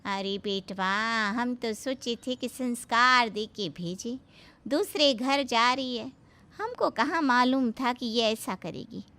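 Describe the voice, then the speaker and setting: A woman voicing a rustic, doting mother who talks slowly in a homely, dialect-flavoured way. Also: fairly high pitch, sharp tone, full character voice. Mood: reassuring.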